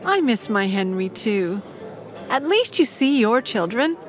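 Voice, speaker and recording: An actress playing a genteel older society widow in an audio drama, polite, chirpy and refined. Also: female voice, fairly high pitch, big pitch swings, a little slow, soft background music. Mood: wistful. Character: genteel, busy English society widow, bright and chatty.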